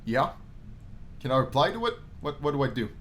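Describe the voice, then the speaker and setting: A man's voice: medium pitch, big pitch swings, fairly quick, a bit bright, a little hiss or hum. A man giving jokey playthrough commentary as he reads a visual novel aloud, often repeating phrases for emphasis. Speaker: gaming video host.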